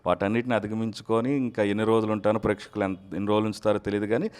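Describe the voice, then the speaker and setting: A man's voice: lowish voice, flat delivery, racing, darkish tone, smooth. A man speaking conversationally as an interview guest, explaining his work. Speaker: guest, mimicry artist.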